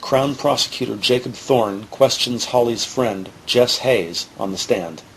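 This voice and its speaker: Male narrator, scripted scene-setting, formal, gravelly, faint noise behind. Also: mid-range voice, measured pace, low-quality recording. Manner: formal, descriptive.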